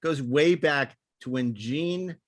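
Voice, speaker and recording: A man's voice: a little high, slow pace, no echo, clean studio sound. A man co-hosting a podcast, telling background history casually, often repeating words as he emphatically builds his point. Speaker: podcast co-host. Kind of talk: casual anecdotal panel discussion, explaining history.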